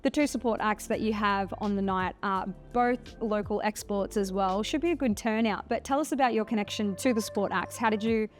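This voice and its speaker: A woman's voice: medium pitch, brisk, few pauses, faint music behind. A woman interviewing a musician in a friendly, chatty way. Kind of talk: casual interview.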